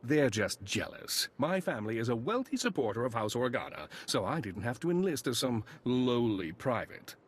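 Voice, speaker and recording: A man's voice: medium pitch, sing-song, moderate pace, hardly any pauses, breathy edge. An actor playing a self-important male noble lieutenant in a video game, pompous and petulant.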